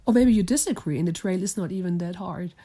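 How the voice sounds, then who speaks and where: Hoarse woman podcast host, German native speaker, mellow tone, chatty and quick to laugh. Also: on the low side. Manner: chatty, laughs often, asks questions. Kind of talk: casual interview on the trail. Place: lodging in a small mountain town.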